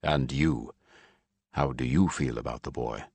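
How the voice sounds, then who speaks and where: A man voicing a calm, thoughtful husband and father in an audiobook, speaking in a dry, measured way. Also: slightly rough, no room sound, exaggerated voice. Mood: quietly probing. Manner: dry, low-key, measured.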